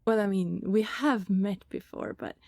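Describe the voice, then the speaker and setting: Breathy, creaky-voiced woman as a caring vampire neighbor. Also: mid-range voice, unhurried, no room sound. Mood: modest, chatty. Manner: soft-spoken, breathy, often drops into whispers, with sighs and small laughs. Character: friendly, secretive female vampire neighbor, fussing and caring. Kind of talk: ASMR roleplay, scripted intimate acting.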